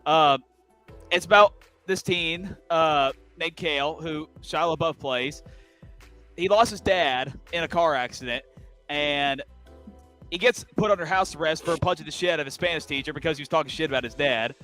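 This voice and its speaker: A man's voice: fairly high pitch, moderate pace, pushed and bright, faint music behind. A man in his twenties, co-hosting a podcast in casual chat, easygoing and full of filler words. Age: 20s. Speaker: podcast co-host.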